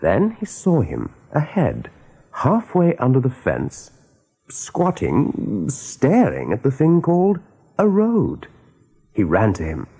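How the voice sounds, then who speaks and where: Husky man narrating with sweeping pitch. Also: wildly swooping pitch, takes it slowly, dark tone. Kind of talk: dramatic scripted storytelling for radio.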